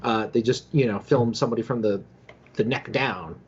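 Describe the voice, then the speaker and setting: A man with a husky, mid-pitched voice co-hosts a casual podcast. He talks through news conversationally. The recording is a little dull. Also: normal speed, darkish tone. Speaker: podcast co-host. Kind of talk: casual news commentary and chat.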